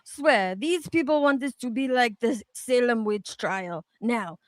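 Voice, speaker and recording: A woman's voice: a little high, a little slow, no echo. A woman doing dramatic readings of online comments with casual, irreverent, sweary banter and playful attempts at accents. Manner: irreverent, sweary, tries on rough accents for fun. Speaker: co-host reading comments aloud.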